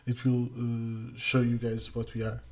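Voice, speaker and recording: Slow, mellow man. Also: mid-range voice, completely flat delivery. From Portugal. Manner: halting, many fillers and restarts. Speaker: community manager. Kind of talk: casual livestream Q&A. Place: home room, indoors. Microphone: headset.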